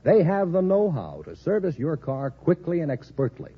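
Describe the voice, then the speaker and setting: A man's voice: fairly high pitch, sing-song, moderate pace, slightly rough. An American man announcing formally in the style of 1950s radio advertising, enunciating with care. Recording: dull vintage radio recording.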